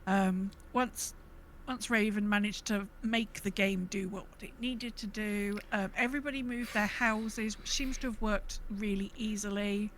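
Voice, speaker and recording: A woman's voice: medium pitch, steady tone, unhurried, hardly any pauses, slight background noise. A woman co-hosting a casual gaming podcast, chatting in an informal, upbeat way. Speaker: podcast co-host.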